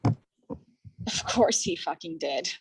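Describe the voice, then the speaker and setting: A woman's voice: lowish voice, animated, extremely slow, breathy edge, heavily acted, rough recording. A woman playing a blunt, sarcastic, impatient friend in a staged play reading, dismissive in manner. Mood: sardonic.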